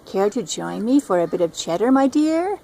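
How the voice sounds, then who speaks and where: An older woman voicing a cocky, indignant male rat in a storybook, with a creaky voice. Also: mid-range voice, very animated, normal speed, warm tone, slight background noise. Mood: self-assured. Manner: self-assured, indignant.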